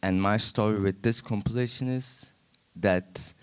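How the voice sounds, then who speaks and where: A man from Denmark, a folk musician speaking as a panel guest, soft-spoken and halting with many fillers. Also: on the low side, smooth. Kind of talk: panel talk answer.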